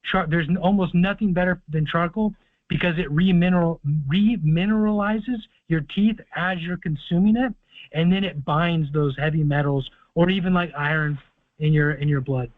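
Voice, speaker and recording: A man's voice: fairly high pitch, moderate pace, no room sound. Man explaining over a tinny phone line. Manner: confident, explaining at length with fillers. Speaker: host of a call-in conference line. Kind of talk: casual call-in advice talk. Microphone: phone or conference call.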